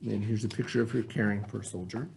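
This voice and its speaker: A man reading aloud as a teacher, in an expressive, instructive way, with questions and simple explanations for pupils. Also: fairly low pitch, quick pace, creaky, poor audio.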